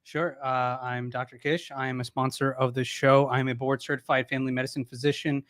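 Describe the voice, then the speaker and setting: A man appearing as a guest sponsor, speaking calmly with a deadpan sense of humor. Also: medium pitch, brisk, dry recording, clean studio sound. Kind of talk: sponsor pitch.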